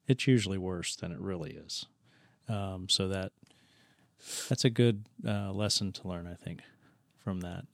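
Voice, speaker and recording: A man co-hosting a podcast interview, speaking in a measured, reflective way with many fillers. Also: low-pitched, no echo, clean studio sound. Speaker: podcast co-host. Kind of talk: conversational interview.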